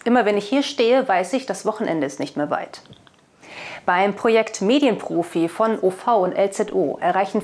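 A woman's voice: mid-range voice, a little mellow. A young woman reading scripted narration as the presenter of a weekly news roundup. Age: young adult. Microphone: lapel mic. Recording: music under the voice. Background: background music.

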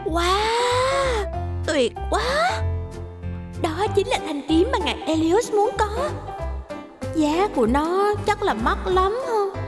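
A woman's voice: very high voice, lively intonation, slow, music in the background, full character voice. A woman with a southern Vietnamese accent voicing an anxious, easily flustered young servant woman in a cartoon dub, full of exclamation. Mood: awed. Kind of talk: animated motion comic dub.

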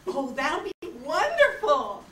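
A woman's voice: high voice, huge pitch swings, takes it slowly, bright, forceful tone, exaggerated voice. A woman playing a plain-spoken castaway in a children's radio adventure. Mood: upbeat. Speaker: amateur performer in a radio play reenactment. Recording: echoey, noisy room from far off.

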